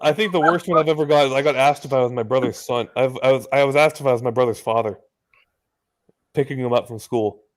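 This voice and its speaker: A man's voice: mid-range voice, fast talker, poor audio. A young American man in his early twenties chats as a guest on a video call. He tells stories with lots of energy, laughing often and swearing freely. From United States. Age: early 20s. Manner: animated storyteller, laughs and swears a lot. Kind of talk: casual chat on a video call.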